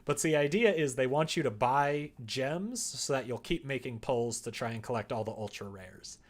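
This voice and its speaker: A man with a mid-pitched voice talks casually on a livestream. He explains things in a loose, rambling way, half to viewers and half to himself as he works. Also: moderate pace. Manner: rambling explanations, muttering and humming to himself while working. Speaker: streamer developing a visual novel. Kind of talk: casual livestream chat while working.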